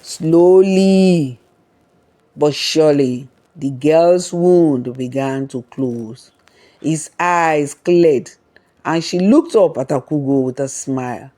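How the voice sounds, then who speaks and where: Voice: medium pitch, takes it slowly, dark tone. A storyteller reading a scripted folktale narration.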